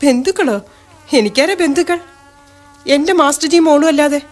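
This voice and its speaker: A woman's voice: high-pitched, moderate pace, darkish tone, faint music behind, lightly acted. A woman playing a young wife in a radio drama, tearful and begging not to be taken away. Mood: worried. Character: young wife, frightened and clinging.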